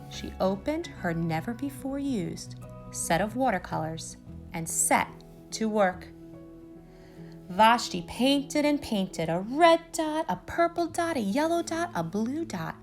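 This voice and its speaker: A woman reading a children's storybook aloud with expressive, deliberate phrasing. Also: a little high. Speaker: storybook reader.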